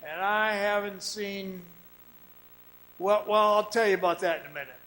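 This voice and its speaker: A man playing a haunted, guarded miner in a radio drama, telling his ordeal in a folksy, halting way. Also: sits high, fairly even intonation, pushed and bright, poor audio. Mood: haunted, brooding. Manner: folksy, deliberate, halting storytelling.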